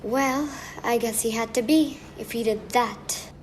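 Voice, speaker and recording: Teen girl playing a high, earnest, naive factory girl. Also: a little slow, a little hiss or hum, close to an everyday voice. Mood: worried, defensive. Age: teenage high school student. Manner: earnest, questioning. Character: naive, kind-hearted young 1920s factory girl. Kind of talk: radio drama acting.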